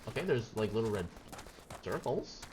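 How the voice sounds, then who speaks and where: A man's voice: fairly low pitch, very animated, normal speed, background noise. A man streaming a video game, giving casual, wry commentary as he thinks aloud. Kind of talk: casual live gameplay commentary. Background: game music.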